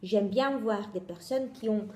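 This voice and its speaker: A woman with an Italian accent hosts a homemade knitting podcast, speaking slowly with a warm, mellow voice. She hesitates a lot, filling gaps and restarting her sentences. Her voice rings in a roomy, echoey space. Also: medium pitch. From Italy. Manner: hesitant, many fillers and restarts. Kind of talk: casual video podcast chat. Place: home in Italy.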